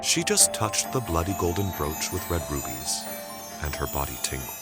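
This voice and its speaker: A male storyteller with a very low voice and expressive, wide-ranging intonation. He reads scripted fiction with suspenseful, deliberate phrasing. Music plays clearly behind him. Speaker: storyteller, narrator. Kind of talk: dramatic scripted narration.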